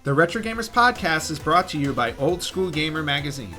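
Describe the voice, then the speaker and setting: A man's voice: fairly high pitch, very animated, a little fast, bright, forceful tone, faint music behind. A man hosting a podcast in a casual, conversational commentary style. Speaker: podcast host. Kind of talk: casual chat, countdown commentary.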